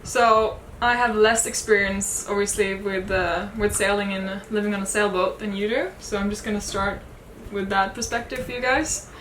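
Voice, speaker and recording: A woman's voice: medium pitch, steady tone, moderate pace, few pauses, a bit bright, roomy sound, slight background noise. A woman from Sweden with a Swedish accent, co-hosting a sailing chat, speaking candidly with small hesitations. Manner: thoughtful, candid, light hesitations. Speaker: sailing vlog co-host. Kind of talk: casual Q&A chat.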